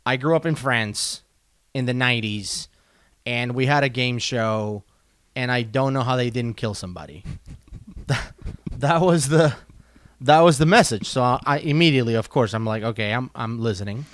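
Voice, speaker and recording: A man hosting a casual chat, animated and quick to jump in with questions. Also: mid-range voice, no echo. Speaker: host.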